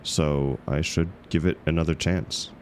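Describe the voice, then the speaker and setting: A man's voice: extremely low pitch, steady tone, a little slow, darkish tone, slight background noise. A man playing an awkward, bashful book club member in an intimate scripted roleplay, speaking hesitantly with stammers and self-deprecation. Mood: sheepish, reflective. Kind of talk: scripted romantic audio roleplay, intimate one-sided dialogue.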